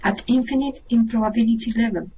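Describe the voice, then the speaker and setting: A woman's voice: a little high, quick pace, mellow, husky, rough recording. A woman playing a level-headed, dryly unimpressed space traveler in a radio play, matter-of-fact in manner. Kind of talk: amateur radio play acting.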